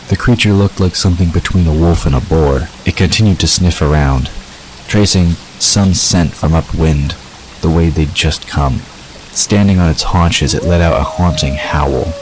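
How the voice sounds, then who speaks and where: Extremely deep-voiced male story narrator. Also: a little hiss or hum, rough recording. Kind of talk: scripted fiction narration.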